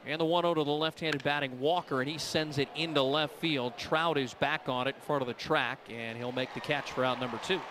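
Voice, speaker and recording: A man's voice: a little high, steady tone, fairly quick, few pauses, fairly bright tone, slight background noise. A man calling a baseball game live as the play-by-play announcer.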